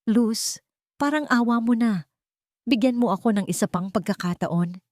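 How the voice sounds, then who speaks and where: A woman's voice: a little high, moderate pace, darkish tone, no echo, clean studio sound, pitched above the performer's own voice, close to an everyday voice. A woman voicing a guilty cheating husband in an audiobook drama, weak, desperate and begging in a broken, tearful manner. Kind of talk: dramatic audiobook character acting.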